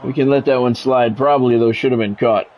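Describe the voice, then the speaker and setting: A man doing measured, broadcast-style football play-by-play over a video game, in clipped phrases with casual gamer asides. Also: mid-range voice, fairly even intonation, dull audio, soft background music.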